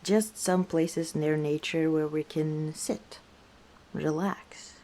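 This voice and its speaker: Female orc innkeeper for ASMR roleplay, acted by a woman; dark, relaxed tone, leisurely delivery, playful and easygoing. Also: low-pitched. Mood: chatty, confiding. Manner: unhurried, playful teasing with frequent chuckles. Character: easygoing, teasing female orc tavern owner. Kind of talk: ASMR roleplay, one side of a conversation.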